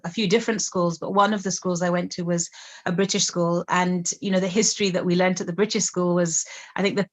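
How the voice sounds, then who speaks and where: A woman in her forties with a slight Scottish accent, an author and storyteller answering interview questions thoughtfully, with many restarts. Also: lowish voice. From Kenya.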